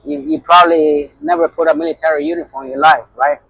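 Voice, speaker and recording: A man's voice: fairly high pitch, sing-song, quick pace, gravelly, breathy edge, lo-fi sound. A middle-aged man with a Puerto Rican accent, a truck driver telling a heated story in a casual vlog, with constant fillers. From New York. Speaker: owner-operator truck driver.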